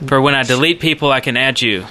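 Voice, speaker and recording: A man co-hosts a casual group podcast. His voice is very bright and forceful, sitting at an average male pitch. He talks conversationally. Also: fast talker. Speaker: podcast co-host.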